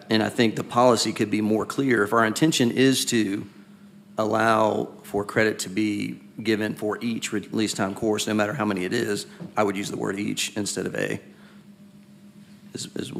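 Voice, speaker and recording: A man with a raspy voice speaking as a school board member at a public meeting, questioning deliberately and circling back to restate his point. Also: lowish voice, slight background noise. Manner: deliberate, questioning, repeats himself while building a point.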